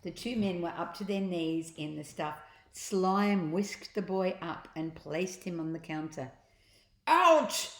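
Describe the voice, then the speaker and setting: A woman reading a children's storybook aloud as an expressive narrator, with deliberate dramatic emphasis. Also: on the low side. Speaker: storybook reader. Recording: echoey room.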